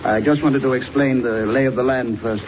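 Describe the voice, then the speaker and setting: A man's voice: medium pitch, fairly even intonation, moderate pace, natural voice. An actor playing an earnest middle-aged male air-transport manager in a 1940s radio drama. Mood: sober, explaining. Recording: noisy, muffled 1940s radio serial recording.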